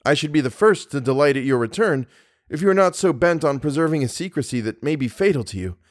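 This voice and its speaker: Earnest young nobleman played by a man, lively intonation. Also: a little high, quick pace, no room sound, clean studio sound. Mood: earnest, courteous. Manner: formal, impassioned. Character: earnest, lovesick young nobleman, anxious and courteous.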